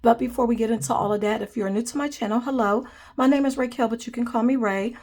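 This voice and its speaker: A woman's voice: on the high side, fairly even intonation, quick pace, a little mellow. A young woman hosting a budgeting vlog, chatting casually and counting cash aloud, sounding nasal from a cold. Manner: friendly, calls viewers "guys", counts money aloud. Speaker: budgeting channel host.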